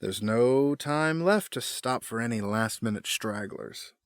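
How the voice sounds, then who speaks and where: Actor as middle-aged male villain, medium pitch, suave, condescending, formal diction, close dry audio drama recording. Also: measured pace, no echo, lightly acted. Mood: smug, taunting. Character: smug, urbane middle-aged male villain, powerful boss.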